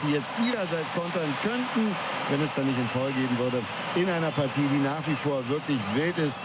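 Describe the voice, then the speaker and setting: A man giving live football commentary as a reporter in an open stadium. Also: fairly high pitch. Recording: noisy stadium background.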